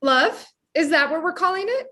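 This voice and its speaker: Woman playing a very high-voiced sarcastic daughter. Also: animated, moderate pace, sharp tone, exaggerated voice. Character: sarcastic, jaded grown daughter, a smart aleck. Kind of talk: virtual table read of a comedy script.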